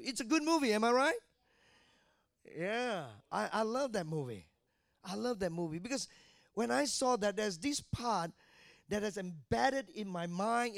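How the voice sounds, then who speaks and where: A man's voice: very high-pitched, no room sound, clean studio sound. A man in his fifties from Malaysia preaching a sermon, often asking the congregation questions. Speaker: pastor.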